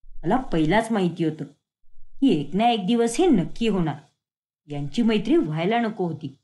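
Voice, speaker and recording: A woman's voice: medium pitch, lively intonation, normal speed, warm tone, voice pushed down, natural voice. A woman voicing a harried young man caught between two women, pleading and exasperated.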